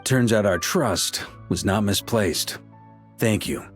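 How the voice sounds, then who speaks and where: An easygoing, wry knight commander. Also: male voice, lowish voice, animated, takes it slowly, faint music behind. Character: relaxed, good-humored grandmaster knight, adult man. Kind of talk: game voice acting.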